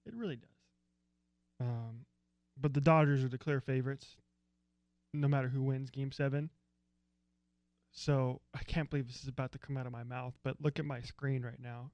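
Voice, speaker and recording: A man's voice: mid-range voice, fairly even intonation, moderate pace, pauses often, a little mellow, dry recording. An American man co-hosting a casual sports podcast, opinionated and rambling, grumbling dryly about teams he dislikes. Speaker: co-host.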